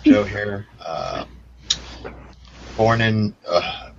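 A man from Chicago, a roundtable guest, speaking haltingly with many fillers over a video call. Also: on the low side, huge pitch swings. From Chicago, United States. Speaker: panel guest. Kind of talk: casual roundtable talk. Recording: lo-fi audio with clear background noise.

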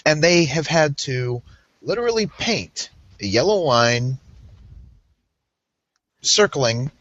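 A man's voice: on the high side, very animated, slow, a bit bright, no room sound, lo-fi sound. A man from the Pittsburgh area co-hosting a podcast, giving blunt opinions in casual chat. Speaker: podcast co-host.

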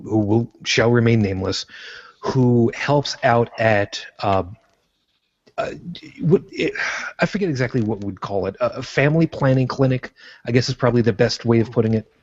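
Husky, rambling podcast guy from Brooklyn. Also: mid-range voice, animated, normal speed, a little mellow, highs cut off. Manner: rambling, self-correcting, jokey. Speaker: podcast co-host. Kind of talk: casual chat.

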